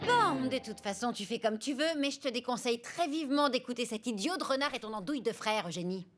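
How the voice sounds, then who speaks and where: A woman voicing a bossy, know-it-all female blackbird in an animated cartoon, scornful and exasperated, with music behind. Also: on the high side, quick pace. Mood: sarcastic. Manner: scornful, sharp-tongued.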